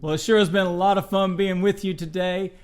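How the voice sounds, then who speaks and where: A man hosting a children's show, telling stories in an unhurried, expressive way. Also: sits high, clean tone, soft background music. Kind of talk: storybook read-aloud and talk to children.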